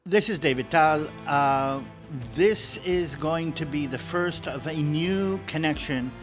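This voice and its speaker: A man's voice: fairly high pitch, slow, faint music behind. A middle-aged man with an American accent, born in the States and raised in Israel, talking through Middle East events in a casual, explanatory way, with fillers and repeated words. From born in the United States, grew up in Israel.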